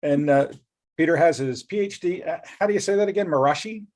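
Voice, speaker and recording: A man's voice: fairly high pitch, quick pace, a little mellow. Middle aged guy hosting a chat, joking around, rambles a bit. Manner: jokey, upbeat, rambling. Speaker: host, company executive. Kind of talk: casual interview talk.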